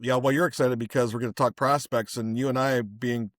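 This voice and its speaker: A man's voice: mid-range voice, steady tone, a little fast, no echo, studio quality. A middle-aged man hosting a family podcast, talking casually and rambling a bit with fillers as he introduces a guest. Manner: rambling, friendly, many fillers and restarts. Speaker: podcast host.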